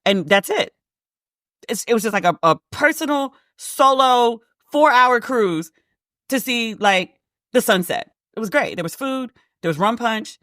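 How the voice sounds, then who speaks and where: A woman with a deep voice hosting a casual solo podcast, telling a story and acting out the dialogue, with "like" all through her speech. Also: animated, measured pace, fairly bright tone, dry recording, studio quality. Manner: chatty, heavy use of "like", acts out quoted dialogue. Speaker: podcast host.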